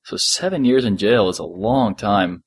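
A man with a somewhat deep voice co-hosts a casual podcast. The sound is dry, with no room echo, as he talks conversationally through story details with his partner. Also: normal speed, vocal fry, husky. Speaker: podcast co-host. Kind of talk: casual discussion of an audio drama.

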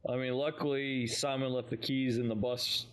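A man's voice: medium pitch, level tone, moderate pace, clear, resonant tone. A man co-hosting a fan podcast, casually recapping an audio drama in an informal, slightly hesitant way, thinking aloud as he goes.

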